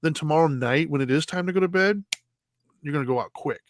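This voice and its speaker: A man co-hosting a casual talk show, explaining sleep habits in an easygoing way, often halting and restarting his sentences. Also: a little high. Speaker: podcast co-host.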